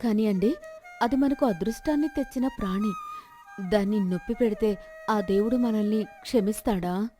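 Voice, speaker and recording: A woman acts out a gentle village wife in a storybook drama. She pleads anxiously in a mid-pitched voice, with music clearly behind her. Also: moderate pace, natural voice. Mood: anxious, pleading.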